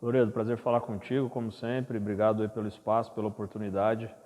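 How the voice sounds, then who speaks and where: Measured Brazilian executive, mellow voice. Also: male voice, on the low side, flat delivery, in a large hall. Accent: Brazilian Portuguese. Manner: measured, formal, explanatory. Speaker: football club executive. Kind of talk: interview answers.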